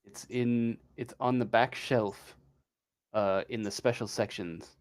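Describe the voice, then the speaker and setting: A man improvising as game master in a tabletop game, speaking haltingly and tentatively as he searches for words. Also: medium pitch, fairly even intonation.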